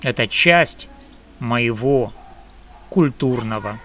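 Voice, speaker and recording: A man from Ukraine delivering a personal opinion monologue to camera, slow and emphatic in measured phrases. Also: mid-range voice, expressive, a bit bright, slight background noise. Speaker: video blogger.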